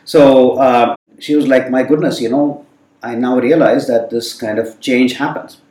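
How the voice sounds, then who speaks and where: Guy w/ hindi as first language, talks slow and thoughtful lots of uhs, sounds kinda far off in a roomy space. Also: medium pitch, steady tone, warm tone. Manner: thoughtful, many fillers. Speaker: guest. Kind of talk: reflective storytelling in conversation. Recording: roomy sound from a distant mic.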